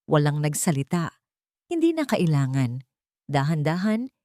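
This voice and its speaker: A woman narrating a scripted audio drama story in a sentimental, earnest way, with measured phrasing and dramatic pauses. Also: low voice, a little mellow, dry recording, clean studio sound.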